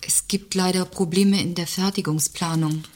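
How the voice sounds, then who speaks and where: Woman playing a deferential secretary, lowish voice. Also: fairly even intonation, moderate pace, darkish tone, natural voice. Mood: apologetic, worried. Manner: over-polite, hesitant, formal. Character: deferential, fiercely loyal female executive secretary. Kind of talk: satirical radio play acting.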